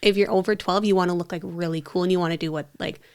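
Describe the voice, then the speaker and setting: Female podcast co-host, slightly low pitch, casual and loose conversational delivery. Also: steady tone, quick pace, clean tone. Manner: informal, frequent "like" as filler. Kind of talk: casual chat.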